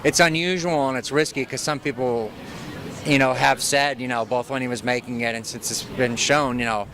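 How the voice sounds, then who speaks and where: Actor guy, talks it through with lots of you knows, mid pitch, outdoors. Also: steady tone, normal speed, fairly bright tone. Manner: thoughtful, rambling explanation. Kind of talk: red carpet interview. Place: outdoor red carpet by a street.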